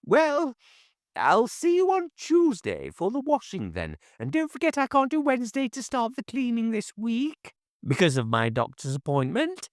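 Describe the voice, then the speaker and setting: A man's voice: extremely high pitch, huge pitch swings, normal speed, no echo, clean studio sound, pitched above the performer's own voice, heavily acted. Man doing a thin, reedy old busybody woman. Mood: fussy.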